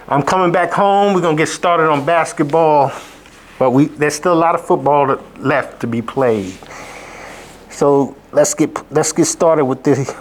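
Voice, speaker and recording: A hoarse man, sick, hosting a casual college football betting recap, rambling with many fillers and restarts. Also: a little high. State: hoarse from illness. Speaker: sports betting show host. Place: abroad, indoors.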